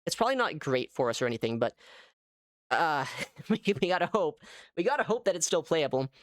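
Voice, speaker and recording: A man giving casual live gameplay commentary as a streamer, thinking aloud in an animated way. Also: fairly high pitch, fairly quick. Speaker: gaming streamer.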